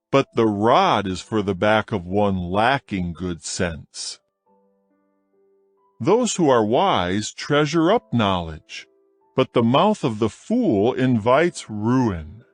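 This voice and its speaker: A man reading proverbs from scripture in a slow, measured, solemn manner as a studio voice-over. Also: lowish voice, dry recording. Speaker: scripture reader.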